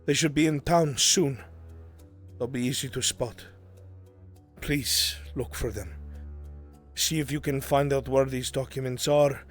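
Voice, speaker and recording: A man's voice: mid-range voice, fairly even intonation, unhurried, pauses often, close to an everyday voice. Man playing a grim, solemn fantasy avenger, music beneath. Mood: earnest, determined. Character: grim, pleading man seeking revenge, offering silver. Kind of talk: live VR roleplay acting. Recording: music under the voice.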